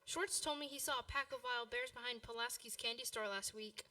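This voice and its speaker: Eager high-voiced young boy, played by a woman. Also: very animated, fairly quick, gravelly, sharp tone, distant mic, exaggerated voice. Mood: eager blurting. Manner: earnest, boyish. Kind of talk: radio play acting.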